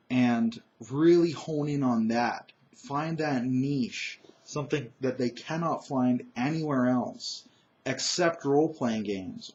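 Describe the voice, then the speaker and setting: A man with a naturally loud voice talking alone about tabletop gaming, emphatic and opinionated, with frequent "uh"s and restarts. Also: medium pitch, highs cut off. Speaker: gaming commentator. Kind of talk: solo opinion monologue.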